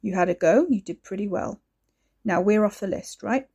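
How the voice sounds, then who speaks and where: A woman's voice: medium pitch, normal speed, creaky, warm tone, husky. A woman reading an audiobook, voicing a creepy young man who taunts and mocks. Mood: mocking. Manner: taunting, sly.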